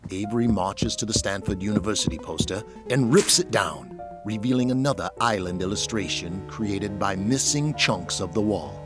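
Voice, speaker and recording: Male narrator, deep voice, dramatic scripted delivery, music underneath. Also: moderate pace.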